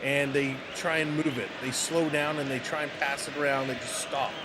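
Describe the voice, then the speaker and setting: A man's voice: medium pitch, fairly even intonation, normal speed, heavy background noise. A man hosting a sports stream, giving casual, partisan fan commentary on a football match. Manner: informal, partisan for Canada. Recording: loud match broadcast crowd behind voice. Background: match broadcast with crowd.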